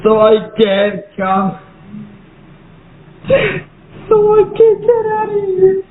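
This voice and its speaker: Man acting a high-voiced, echoey, desperate trapped survivor. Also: very animated, full character voice. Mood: tearful pleading. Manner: despairing, halting, pleading. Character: desperate trapped apocalypse survivor, grieving husband. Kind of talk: amateur horror audio drama monologue. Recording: echoey, phone-like, faint noise.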